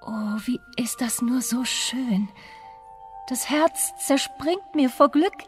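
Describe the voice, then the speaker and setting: An enraptured young fir tree princess in a fairy tale radio play. Her voice is high and unhurried, gushing with wonder over soft music. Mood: overjoyed, longing.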